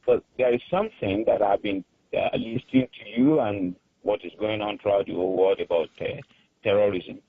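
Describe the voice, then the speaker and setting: A man from Nigeria with a Nigerian accent, calling in to a radio show, earnest and halting with many fillers. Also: lowish voice, lively intonation, gravelly, husky, no room sound. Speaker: caller. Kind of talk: call-in radio talk. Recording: tinny phone line.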